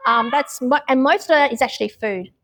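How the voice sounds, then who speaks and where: Australian woman, middle-aged, reflective interviewee, somewhat high voice, plenty of ums. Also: brisk, fairly bright tone. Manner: open and reflective, frequent ums and restarts. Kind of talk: casual interview answers.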